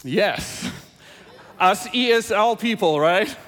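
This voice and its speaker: A man's voice: high-pitched, slow, big-room sound. A South African man whose first language is Afrikaans, preaching a sermon with scripture reading and asking his audience questions. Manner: animated, engaging the audience with questions. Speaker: pastor.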